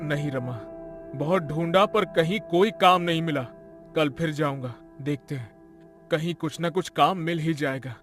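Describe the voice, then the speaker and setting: Man voicing a higher-pitched humble poor husband. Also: a little slow, a bit bright, faint music behind. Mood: dejected. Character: poor, worried, humble young husband seeking work.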